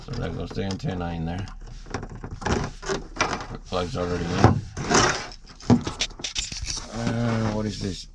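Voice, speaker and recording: A man giving a casual, unscripted how-to for a vlog, rambling and thinking out loud as he works. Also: low voice, fairly even intonation, takes it slowly, gravelly, long pauses, very noisy. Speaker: vlogger showing a DIY truck installation.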